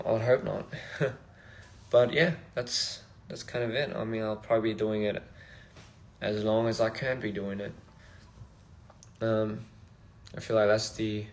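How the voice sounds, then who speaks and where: A young man from Australia, a musician chatting casually on a phone livestream in a halting, rambling, soft-spoken way. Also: on the low side. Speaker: musician streaming live. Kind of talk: casual live-stream chat.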